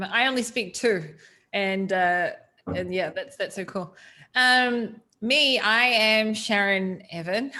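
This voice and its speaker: A woman's voice: mid-range voice, sharp tone. A woman, chatting casually as an interview guest with frequent ums. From New Zealand.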